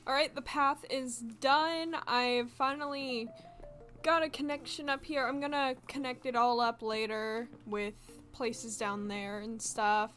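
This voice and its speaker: A young woman with a congested voice, chatting casually and excitedly as she builds in a video game. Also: a little high, unhurried. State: congested from allergies. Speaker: gaming video creator. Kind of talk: casual gameplay commentary. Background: soft game music.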